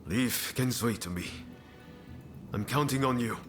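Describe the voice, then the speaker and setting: Earnest, mid-pitched male comrade acted by a man. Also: unhurried, over music, lightly acted. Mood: serious, urgent. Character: earnest, take-charge rebel comrade in samurai-era Japan. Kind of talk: dramatic video game voice acting.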